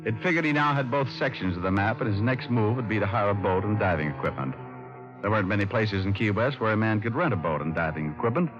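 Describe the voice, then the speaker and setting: An actor playing a shrewd, confident private detective, wry and colloquial, in an old-time radio drama. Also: male voice, lowish voice, animated, fast talker, over music, lightly acted. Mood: grim, dry. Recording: dull vintage radio recording.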